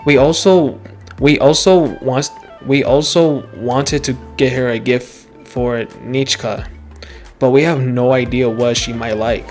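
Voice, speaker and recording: A man's voice: medium pitch, fairly even intonation, slow, a little mellow, faint music behind. A man in his late teens doing casual, rambling game playthrough commentary, often repeating himself and hesitating. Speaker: gaming streamer.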